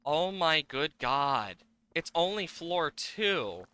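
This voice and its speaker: Bright, animated-talking man streaming games. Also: a little high, takes it slowly, clear, resonant tone. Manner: playfully exasperated, swearing, exclaiming and talking to the game. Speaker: livestreamer. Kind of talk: casual gaming livestream commentary.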